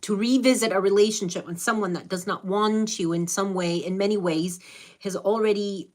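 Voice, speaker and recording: A woman's voice: medium pitch, fairly even intonation, moderate pace, darkish tone. Blunt Spanish-accented woman giving advice. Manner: blunt, direct, motherly advice. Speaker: spiritual advice live-streamer. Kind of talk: live-stream reading of viewer questions.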